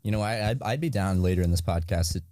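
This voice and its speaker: A man co-hosting a casual podcast, rambling and joking, with a dry cough and a slightly raspy voice. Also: low-pitched, quick pace, noisy background. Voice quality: a little raspy. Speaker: podcast co-host.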